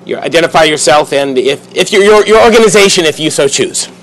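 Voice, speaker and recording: A man's voice: fairly high pitch, lively intonation, brisk, slight background noise, low-quality recording. A man moderating a panel discussion, polite and inviting, with fillers. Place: conference room.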